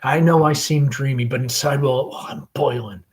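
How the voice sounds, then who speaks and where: A man's voice: medium pitch, steady tone, moderate pace, natural voice. Man reading as a restless, dreamy young man. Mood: brooding.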